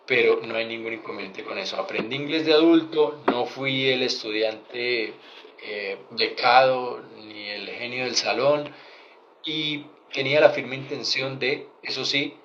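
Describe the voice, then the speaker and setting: A Colombian man in his thirties talks straight to his viewers in a frank, earnest way, pressing his points with conviction. His voice sits at an ordinary middle pitch. The recording sounds a little dull, with a faint hiss underneath. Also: measured pace. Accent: Colombian Spanish. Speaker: doctor sharing career advice. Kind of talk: direct-to-camera advice vlog.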